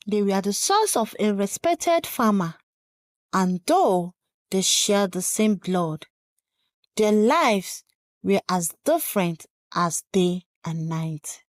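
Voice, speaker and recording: Slow, expressive woman storyteller. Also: medium pitch, no room sound, studio quality. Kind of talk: scripted folktale narration.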